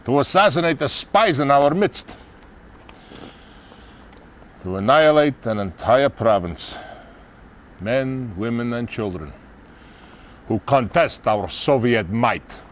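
A weary, sardonic old Soviet general from a video game cutscene. He speaks with a Russian accent at a slow, deliberate pace. His theatrical delivery rises and falls widely, with pauses between short phrases. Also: mid-range voice, expressive. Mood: bitter, menacing. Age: older. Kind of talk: dramatic game cutscene acting.

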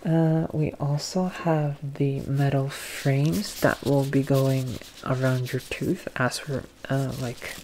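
A young man doing a soft-spoken, often whispered ASMR dentist roleplay, halting and gently reassuring, speaking close through a face mask. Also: mid-range voice, level tone, a little hiss or hum, rough recording. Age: young adult. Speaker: ASMR performer playing a dentist. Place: small plain room indoors. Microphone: close to the mic, facing camera, through a face mask.

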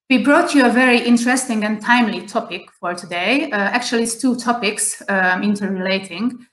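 A woman hosts an online interview from a plain room at home. She speaks carefully, pausing on fillers and restarting her phrases. The call sound bounces around a roomy space. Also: mid-range voice, fairly bright tone. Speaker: host. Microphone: video call. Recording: echoey room on a video call.

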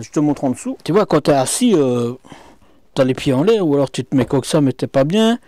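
A middle-aged man walks through a camper van interior, chatting directly to his viewer as he points out every detail. His voice sits a little high but mellow and soft-edged. He's picked up on a clip-on mic, close and dry, with no room ring. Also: no echo. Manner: chatty, addresses viewer directly, frequent hop-hop and hein. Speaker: motorhome reviewer. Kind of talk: casual walkthrough vlog. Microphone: small clip-on mic.

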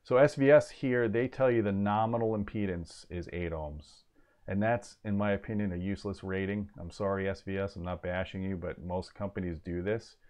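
A man in his forties hosts an informal technical explainer. His voice sits somewhat low. He is plain-spoken and opinionated, stressing his points as he talks into a clip-on mic in a small home office. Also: fairly even intonation, moderate pace, darkish tone. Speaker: host of an audio gear review channel.

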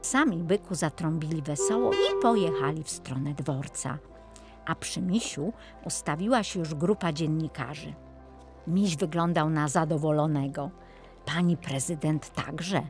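A woman narrating a children's audiobook in an expressive storytelling style. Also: low-pitched, measured pace, faint music behind.

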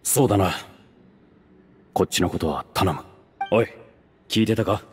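A man voicing a steady, commanding mercenary in an anime game, firm and direct in informal speech. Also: sits low, moderate pace, natural voice. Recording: roomy reverb, faint music behind.

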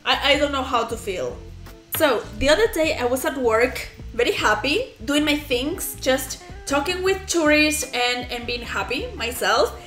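Animated woman in her thirties, high voice. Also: roomy sound, soft background music. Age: 30s. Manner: animated, gestures a lot, laughs often, halting restarts. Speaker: reaction video host. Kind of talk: casual storytelling to camera. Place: small indoor room at home, plants behind.

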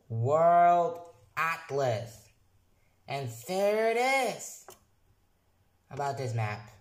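A young man who is not a native English speaker, casually reviewing software on his computer and reading text aloud haltingly, restarting and correcting words. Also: medium pitch, roomy sound. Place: room at a computer.

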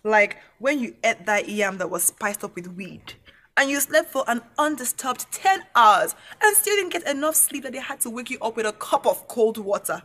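A haughty young Nigerian student played by a woman in an audio drama. Her voice is fairly high, and she speaks with sarcastic, confrontational bite. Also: animated, normal speed, fairly bright tone. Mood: mocking. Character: haughty, sharp-tongued young female student.

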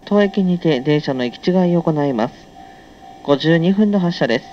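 A man's voice: on the high side, expressive, moderate pace, highs cut off, slight background noise. A man speaking as a train conductor, making polite, formal onboard announcements in stock phrases.

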